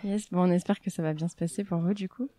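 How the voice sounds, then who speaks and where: A woman hosting a podcast, reading a lively intro and then chatting casually with her guest. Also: on the low side, quick pace, no room sound. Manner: upbeat, chatty, uses fillers. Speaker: podcast host.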